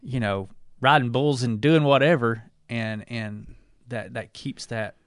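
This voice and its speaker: A man in his forties co-hosting a casual talk show, joking and easygoing, quick to laugh. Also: mid-range voice, dry recording, studio quality. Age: 40s. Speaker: co-host. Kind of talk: casual chat.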